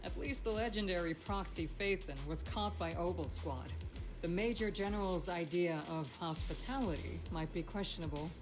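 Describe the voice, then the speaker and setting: An actress playing a dutiful, disciplined female soldier in a video game, speaking in a formal, measured, courteous way. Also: fairly low pitch, over music, lightly acted, rough recording. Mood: matter-of-fact.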